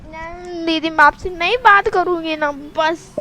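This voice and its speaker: A woman's voice: very high-pitched, measured pace, bright, forceful tone, a little hiss or hum, exaggerated voice. A woman voicing a scared little girl who pleads, sulks and stammers. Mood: pleading. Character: small scared girl, sulky and tearful.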